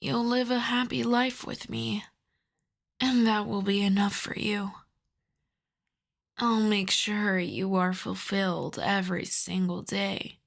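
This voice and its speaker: Woman as a slow, hushed, lovesick vampire. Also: mid-range voice, vocal fry. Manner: slow, hushed, often slipping into whispers. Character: lovesick female vampire, sorrowful, pleading, possessive, tenderly menacing. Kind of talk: intimate ASMR roleplay.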